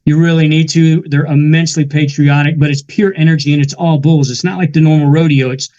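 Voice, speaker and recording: A man in his forties, a veteran and musician, telling his story in an earnest, steady way during a casual interview. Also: a little high, fairly quick, lo-fi sound. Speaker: guest musician and army veteran.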